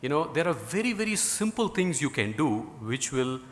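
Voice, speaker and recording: A man's voice: on the high side, sing-song, normal speed. A middle-aged man from India with an Indian English accent, a native Tamil speaker, giving a conference talk in a rambling way with lots of "you know" and dry jokes. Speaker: guest speaker. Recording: hall reverb.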